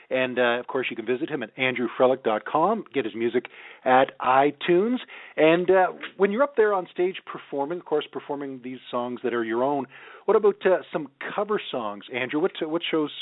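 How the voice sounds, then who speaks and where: A man's voice: on the high side, no echo. A man hosting a radio interview, speaking in an encouraging, appreciative way. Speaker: radio host interviewing a musician. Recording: narrow phone-like band.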